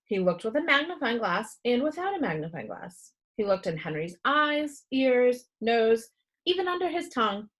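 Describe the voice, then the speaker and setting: A woman reading a children's book aloud as expressive storytelling narration. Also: a little high, moderate pace.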